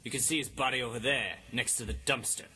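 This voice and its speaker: A man playing a self-important, deadpan hard-boiled detective in 1950s radio drama style. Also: mid-range voice, fast talker, a little hiss or hum. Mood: smug, deadpan. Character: cocky, melodramatic male hard-boiled detective, absurdly sure of himself. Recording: music behind the voice.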